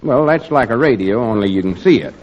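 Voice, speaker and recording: An actor playing a folksy, know-it-all small-town storekeeper with a rural Southern accent in a 1940s radio comedy, earnestly explaining things and mangling big words. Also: male voice, mid-range voice, lively intonation, brisk, lightly acted. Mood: mildly exasperated. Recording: dull noisy 1940s radio recording, live audience.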